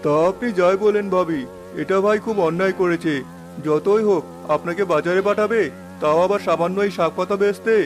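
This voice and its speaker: A man's voice: high-pitched, a little fast, soft background music, natural voice. A man voicing a meddling village neighbour in a cartoon, disapproving and huffy.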